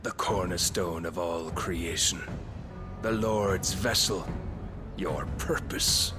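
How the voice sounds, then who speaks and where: A low-voiced warrior king in a fantasy game, imperious and fanatically devout. He speaks slowly and solemnly, with arch condescension, over loud music. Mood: solemn fascination. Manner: solemn, commanding, archly condescending. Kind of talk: dramatic fantasy game acting. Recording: loud orchestral music behind.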